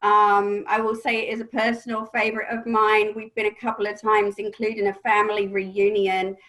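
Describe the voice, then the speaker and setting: A woman hosting an online event, introducing guests in a chatty, relaxed way with a few uhs. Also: mid-range voice, moderate pace, poor audio. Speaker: webinar host. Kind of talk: casual introduction.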